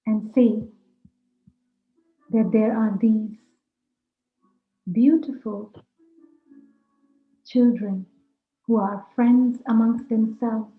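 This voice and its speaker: A woman leading a guided meditation talk, speaking deliberately in short, measured phrases. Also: mid-range voice. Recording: roomy echo, dull lo-fi sound, music. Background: music.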